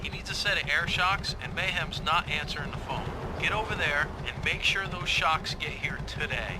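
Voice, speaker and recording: An actor playing a direct, casual bike builder, the boss's son, in a video game. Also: male voice, fairly high pitch, moderate pace, background noise, close to an everyday voice. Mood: matter-of-fact. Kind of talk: video game cutscene acting.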